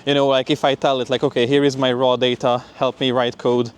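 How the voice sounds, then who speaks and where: A man's voice: medium pitch, little pitch movement, brisk, smooth. Casual, conversational young man vlogging. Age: young adult. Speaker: PhD student vlogger. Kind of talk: casual spoken vlog update.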